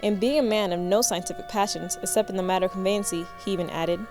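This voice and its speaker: Woman narrator, medium pitch, formal storytelling, radio play narration, prominent music underneath. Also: steady tone, quick pace.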